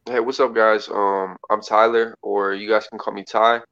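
A man introducing himself as the social media person, halting, with many fillers and restarts. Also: on the low side, little pitch movement, a bit bright, clean tone. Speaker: social media team member. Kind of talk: casual self-introduction. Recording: dull lo-fi audio.

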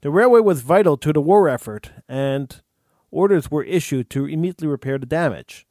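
A man, the host, reading a true story aloud in an earnest, measured way, with an occasional stumble over words. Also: a little high, lively intonation, mellow, no echo, studio quality. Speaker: radio host.

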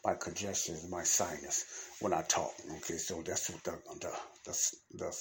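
A man's voice: low voice, fairly even intonation, moderate pace, vocal fry, a bit bright, a little hiss or hum. A man hosting his own audio podcast, rambling and preachy with many restarts, his nose congested from sinus trouble. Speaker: podcast host. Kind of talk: freestyle talk on faith and life.

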